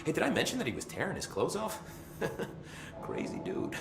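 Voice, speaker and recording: A man's voice: a little high, huge pitch swings, normal speed, vocal fry, noisy background, heavily acted. An actor playing a chatty, joking male security chief in a video game, talking casually and laughing between lines. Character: jokey harried male security chief, middle-aged. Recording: echoey room with loud ambience.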